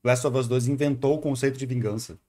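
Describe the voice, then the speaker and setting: A man's voice: medium pitch, fairly even intonation, quick pace, dark tone. A man with a Brazilian accent chatting casually as a streamer reacting to a video, slangy and joking. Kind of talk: casual reaction chat, talking to viewers.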